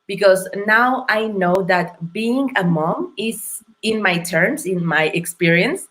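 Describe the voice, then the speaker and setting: A woman from Mexico with a Mexican accent, a non-native English speaker, telling her story as an interview guest in an earnest, thoughtful and halting way. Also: medium pitch, a bit bright. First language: Spanish. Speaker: guest entrepreneur.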